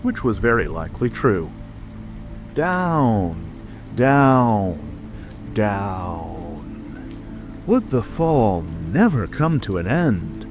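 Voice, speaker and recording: A man narrating a children's storybook in an expressive, dramatic scripted reading. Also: fairly low pitch, drawn-out words. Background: music.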